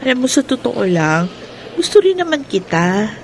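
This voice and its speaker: A woman's voice: on the high side, very animated, normal speed, slight background noise. A woman playing a young woman unsure about a suitor, in a radio drama. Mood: uncertain.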